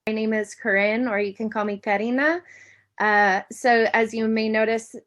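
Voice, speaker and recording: A woman's voice: on the high side, steady tone, normal speed, a bit bright. Friendly American woman lecturer, middle-aged, presenting online with many ums and restarts. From United States. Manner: friendly, with frequent ums and self-corrections. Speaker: university lecturer.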